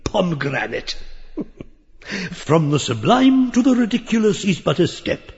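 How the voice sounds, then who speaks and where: A leering, grotesque old scholar in a surreal stage play. The voice is highish, nasal and gravelly, leaping wildly between pompous lecture and parrot-like crowing, in a muffled recording with long hall reverb. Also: male voice, big pitch swings, a little slow, darkish tone, exaggerated voice. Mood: derisive. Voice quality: nasal, croaking, gabbling. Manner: pompous learned lecturing, full of crowing outbursts. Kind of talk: theatrical audiobook acting of a surreal stage play.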